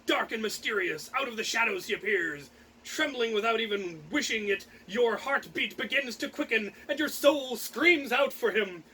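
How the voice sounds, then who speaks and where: A man hams up a pompous toy-commercial announcer, high and bright. The pitch is melodramatic and grand, selling a dashing pirate doll in mock-advert style, with faint noise behind. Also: expressive, normal speed, heavily acted, poor audio. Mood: melodramatic, gushing. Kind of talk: mock advertisement read-aloud.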